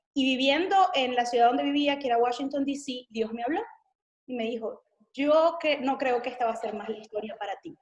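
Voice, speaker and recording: A woman's voice: on the high side, roomy sound. A Venezuelan woman in her thirties with a Venezuelan Spanish accent, a missionary guest sharing her testimony in an earnest, reflective way with frequent fillers. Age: 30s. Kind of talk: interview, personal testimony.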